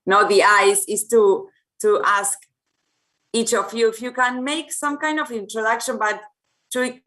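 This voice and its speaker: Brief: woman, moderating a panel, halting and filler-heavy. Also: fairly high pitch, fairly even intonation, a bit bright. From Argentina. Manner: hesitant, searching for words, many fillers.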